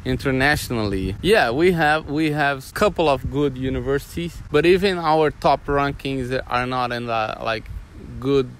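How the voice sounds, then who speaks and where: A man with a Brazilian Portuguese accent hosts a casual interview, speaking haltingly as he searches for words. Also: medium pitch, a little hiss or hum. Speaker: host.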